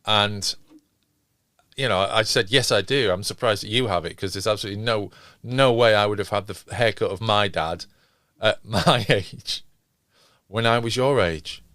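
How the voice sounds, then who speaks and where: A middle-aged man chatting casually as a podcast co-host, halting and interrupting himself as he goes. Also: fairly low pitch, a bit bright, dry recording, studio quality. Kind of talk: casual chat.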